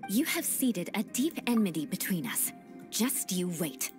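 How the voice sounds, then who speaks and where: Haughty, vengeful knight. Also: female voice, mid-range voice, unhurried, over loud music. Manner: haughty, dry, deadpan threats. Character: proud young noblewoman knight, cold and vengeful. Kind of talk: video game voice acting.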